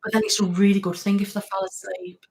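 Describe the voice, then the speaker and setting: A woman's voice: medium pitch, flat delivery, quick pace, gravelly, darkish tone. A woman hosting a casual live-stream chat, rambling, laughing often and thanking viewers by name. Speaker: ASMR channel host.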